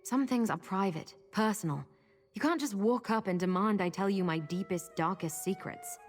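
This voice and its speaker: An actress playing a guarded, plain-spoken female adventurer in a fantasy video game, informal and a little halting. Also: mid-range voice, close to an everyday voice. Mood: guarded, confiding. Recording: long reverb with music behind.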